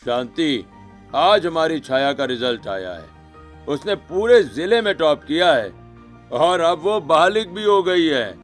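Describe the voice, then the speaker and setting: A man's voice: fairly high pitch, sing-song, slow, soft background music. A man voicing a stern middle-aged father who frets about society in a cartoon story. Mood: proud. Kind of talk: Hindi cartoon story dialogue acting.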